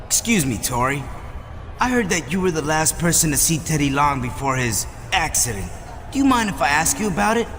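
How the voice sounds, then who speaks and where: A man playing a serious wrestling authority in a video game, asking pointed, measured questions. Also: on the high side, very animated, fairly bright tone, big-room sound, a little hiss or hum. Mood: polite, probing. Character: serious, probing male wrestling authority figure investigating. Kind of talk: video game cutscene acting.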